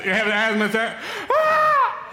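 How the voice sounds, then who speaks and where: A man preaching a sermon, telling a comic story with shouted cries and playful asides to the congregation. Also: very high-pitched, huge pitch swings, moderate pace. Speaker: pastor. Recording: long reverb of a large hall.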